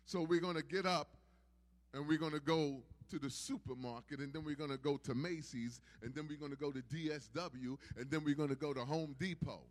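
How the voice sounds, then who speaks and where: A man's voice: fairly high pitch, fairly quick. Emphatic male preacher in a reverberant hall. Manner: emphatic, repetitive preaching cadence. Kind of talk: sermon.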